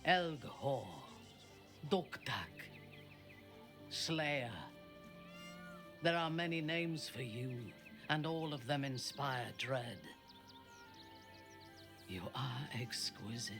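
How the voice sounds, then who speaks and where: A woman playing a fierce dark warrior in a video game, breathy at times, intense and adoring. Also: rumbling low, extremely slow, long pauses, music in the background, heavily acted. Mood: enraptured, menacing. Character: fierce, reverent female dark warrior lover.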